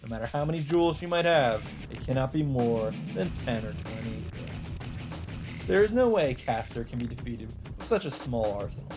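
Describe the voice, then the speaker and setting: A man's voice: medium pitch, lively intonation, moderate pace. A man reading visual novel narration aloud as a let's player, in a scripted storytelling style. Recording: music behind the voice. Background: game music.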